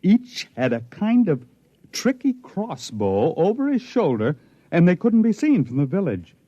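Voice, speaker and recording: A stranded spaceship captain recounts his ordeal like classic 1950s radio drama. He is haunted and obsessive, swinging widely in pitch between brooding pauses. The old recording is dull and close, with no room sound. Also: huge pitch swings, warm tone, dry recording, exaggerated voice. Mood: grim, intense.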